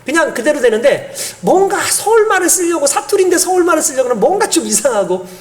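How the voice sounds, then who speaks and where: Male pastor preaching, pretty high voice, really animated swings, keeps asking the crowd questions. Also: fast talker. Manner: earnest, emphatic preaching with rhetorical questions to the congregation. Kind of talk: sermon.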